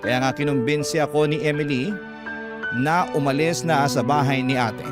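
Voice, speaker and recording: Casting: male narrator, slightly high voice, dramatic first-person radio storytelling, prominent music bed underneath. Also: fairly even intonation, normal speed. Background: loud background music.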